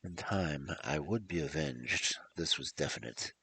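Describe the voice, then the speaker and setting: Very deep gravelly male storyteller. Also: expressive, a little slow, pushed and bright, slight background noise. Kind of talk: scripted story narration.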